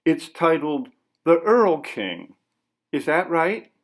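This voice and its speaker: A man's voice: fairly high pitch, big pitch swings, slow, pitched above the performer's own voice. A man voicing a nervous, polite interviewer in a short story reading, asking questions in a tentative, formal way.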